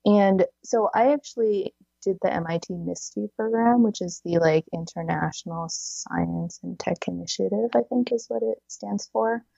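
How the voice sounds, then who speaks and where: A woman's voice: medium pitch, moderate pace, vocal fry, hardly any pauses, mellow, slightly rough, no echo, muffled recording. A woman in her thirties chatting casually as an interview guest, filling her talk with "like" and frequent little laughs. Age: 30s. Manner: chatty, many "like"s, laughs between phrases. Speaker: podcast guest.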